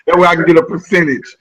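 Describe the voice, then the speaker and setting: A man's voice: fairly high pitch, rough recording. A man from the Midwest hosting a casual chat, loose and joking. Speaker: host.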